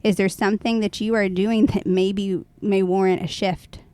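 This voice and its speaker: A woman with a very clear, smooth voice at a medium pitch co-hosts a reflective podcast. She talks conversationally and thoughtfully, pausing as she works out what she means. The sound is dry, with little room around her. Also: fairly even intonation, dark tone, no echo. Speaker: podcast co-host.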